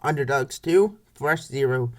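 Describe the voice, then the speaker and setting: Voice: medium pitch, measured pace. A baseball play-by-play announcer reading scripted commentary for a comic dub in short, clipped phrases.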